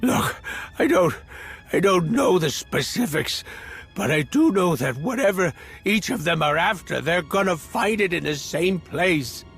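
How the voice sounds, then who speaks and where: A man's voice: high-pitched, lively intonation, a little slow, gravelly, a bit bright, slightly rough, soft background music, heavily acted. A man playing a cowardly, whining, self-serving slicer in a video game, stammering and pleading nervously. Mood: anxious bargaining. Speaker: captured comms technician informant. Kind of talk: video game cutscene acting.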